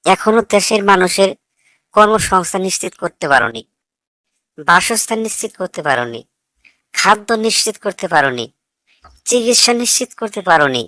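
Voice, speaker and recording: Cartoon role: young foreign-educated idealist , voiced by a woman, defiant and sarcastic, slightly low pitch, dry close sound. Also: expressive, normal speed, long pauses, a bit bright, dry recording. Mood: accusing. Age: young adult. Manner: argumentative, sarcastic, lecturing. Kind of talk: scripted cartoon dialogue.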